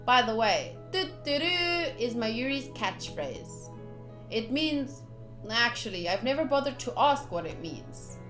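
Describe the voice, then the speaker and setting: A woman's voice: fairly high pitch, a little slow, music in the background. A young woman doing playthrough commentary, reading game text aloud expressively with chatty asides. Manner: expressive, chatty asides between read narration. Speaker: game streamer.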